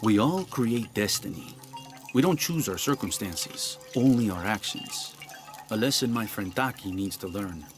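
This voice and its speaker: A man's voice: on the low side, animated, unhurried. An actor playing a proud, roguish gambler in a video game, sly and philosophical as he teaches. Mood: sly, amused. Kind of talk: video game cutscene acting. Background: music.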